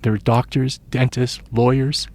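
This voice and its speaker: A man's voice: mid-range voice, flat delivery, unhurried, a little mellow. A man in his sixties, originally from the Philippines, giving thoughtful, earnest interview answers as an education professor and telling stories from his teaching. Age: 60s.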